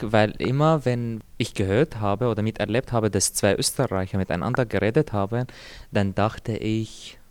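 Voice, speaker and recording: A young man from Syria, Arabic his first language, answers interview questions about his own life. His voice sits low and even. He talks in a calm, measured way, pausing and repeating words as he searches. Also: clear, resonant tone. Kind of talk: calm personal account.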